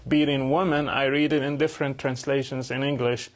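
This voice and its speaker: A man whose first language is Arabic, interviewing a guest with probing questions and some halting restarts. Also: mid-range voice, little pitch movement. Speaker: interviewer, host.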